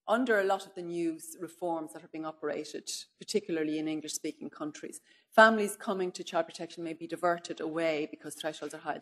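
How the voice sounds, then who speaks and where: A middle-aged woman giving a keynote lecture at a lectern, measured and thoughtful, often pausing with "if you like" and "um". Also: low-pitched. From Ireland. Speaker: keynote speaker. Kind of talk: lecture to an audience. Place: conference room, indoors. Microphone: far camera, speaking at a lectern.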